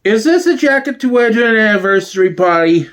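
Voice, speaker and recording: A man's voice: sits high, lively intonation, a little fast, fairly bright tone, pitched above the performer's own voice. A man voicing an older mother in a one-man sitcom reading, fussy, nagging and exasperated. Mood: fretting.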